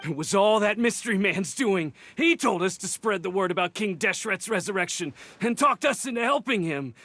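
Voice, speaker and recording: An actor playing a frightened, cowardly desert bandit in a video game, stammering and pleading. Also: male voice, high voice, lively intonation, normal speed, fairly bright tone, no room sound, soft background music, full character voice. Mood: nervous confessing.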